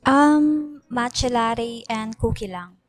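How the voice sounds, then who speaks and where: A woman's voice: a little high, slow pace, no room sound. A woman with a Filipino accent playing a sassy, reluctant young single woman in a radio drama. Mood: casual, teasing.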